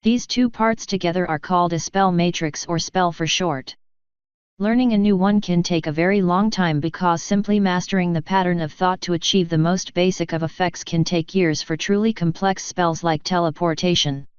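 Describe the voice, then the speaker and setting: Clear, smooth low-voiced woman narrator. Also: moderate pace, no echo, highs cut off. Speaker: audiobook narrator of fan fiction. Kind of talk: scripted first-person story narration.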